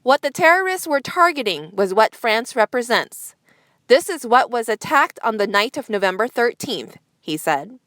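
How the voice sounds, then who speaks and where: A woman's voice: fairly high pitch, big pitch swings, normal speed, fairly bright tone, no echo. A woman news presenter reading a scripted news bulletin.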